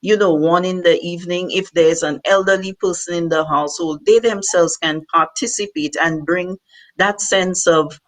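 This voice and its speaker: A woman's voice: fairly low pitch, fairly even intonation, normal speed. A woman speaker on a video call, talking in a conversational way with frequent 'you know'. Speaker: summit speaker.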